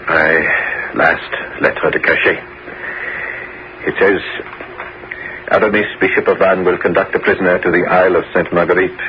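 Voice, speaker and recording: A man's voice: deep voice, fairly even intonation, normal speed, pauses often, close to an everyday voice. A man playing a grave, remorseful scheming bishop of old France in a 1970s radio drama, with formal, theatrical delivery. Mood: solemn. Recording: muffled, noisy old radio broadcast.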